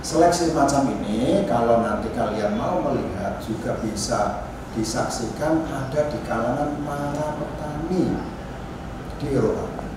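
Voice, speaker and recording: Heard from a distance in a very echoey room with clear background noise, a man lectures. He explains ideas informally and deliberately, punctuating his phrases with short check-in tags at an average pitch. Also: expressive. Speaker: university anthropology lecturer.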